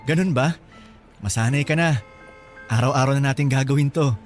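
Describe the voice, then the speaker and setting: An actor playing a bashful, gentle young office worker in a radio drama, hesitant in manner. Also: male voice, mid-range voice, moderate pace, faint music behind, close to an everyday voice. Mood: friendly, encouraging. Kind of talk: Tagalog radio drama acting.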